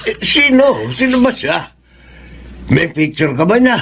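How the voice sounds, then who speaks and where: A man playing a playful, gravelly older father in a Filipino radio drama. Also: high voice, very animated, unhurried, fairly bright tone, full character voice. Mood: astonished. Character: jovial older father, playful and easily amazed. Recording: noisy radio drama recording.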